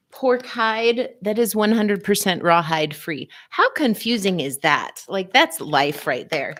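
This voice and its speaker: A middle-aged woman hosting a casual live stream, playful and chatty, greeting viewers by name with high swoops in her voice. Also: fairly bright tone, no echo. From United States. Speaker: livestream host, physical therapist. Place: small office.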